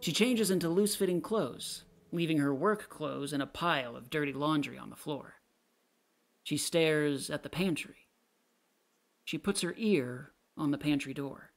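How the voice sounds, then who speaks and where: A woman's voice: low voice, unhurried. A woman reading a play's character notes and stage directions as scripted narration.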